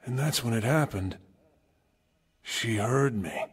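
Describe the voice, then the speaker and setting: Weary survivor for a game cutscene: very husky, mid-pitched, wry and wistful, talking as if to an old friend. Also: male voice, slow pace. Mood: wistful recollection. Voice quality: husky, rough-edged. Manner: conversational, musing aloud. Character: world-weary male survivor, wry, wistful, longing for freedom. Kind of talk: video game cutscene acting.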